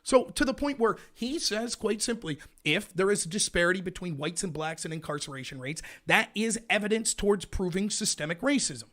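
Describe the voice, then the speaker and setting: A man hosting a live political show, ranting in a loud, indignant voice. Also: high voice, expressive, brisk, hardly any pauses. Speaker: livestream show host. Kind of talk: heated political commentary.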